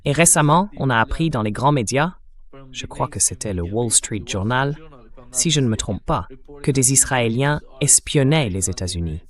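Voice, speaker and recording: A synthetic male voice reading an interviewer's translated questions in a measured way. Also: mid-range voice, animated.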